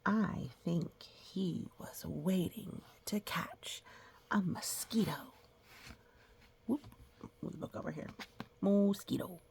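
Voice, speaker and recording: A woman reading a children's picture book aloud, with playful sound effects and casual, gentle explanatory asides. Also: on the low side, takes it slowly, gravelly, long pauses, in a whisper. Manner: explains gently to young listeners.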